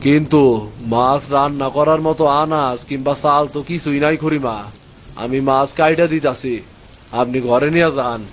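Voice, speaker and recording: A man's voice: a little high, steady tone, normal speed, a little hiss or hum, close to an everyday voice. A man voicing a poor, worried fisherman father in a cartoon, speaking folksy rural Bangladeshi Bengali. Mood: surprised, polite. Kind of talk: animated moral story acting.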